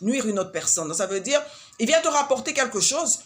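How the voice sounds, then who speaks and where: A woman with a Congolese French accent talks through life advice in a relaxed vlog monologue. Her first language is Swahili, and she searches for words aloud, repeating herself and filling pauses with hesitations. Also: a little high. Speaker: vlogger giving life advice.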